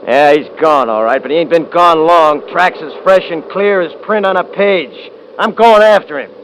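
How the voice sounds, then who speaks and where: An actor playing a cocky, bullying young American rancher in a 1950s radio drama, with rustic, taunting talk. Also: male voice, a little high, moderate pace, fairly bright tone, close to an everyday voice. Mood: urgent, bossy. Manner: rustic drawling grammar, swaggering, taunting. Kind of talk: 1950s radio drama acting and first-person narration. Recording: noisy old 1950s radio recording.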